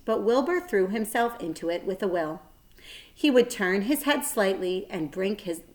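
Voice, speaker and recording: A woman reading a children's story aloud like a teacher, with a careful, expressive delivery. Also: mid-range voice.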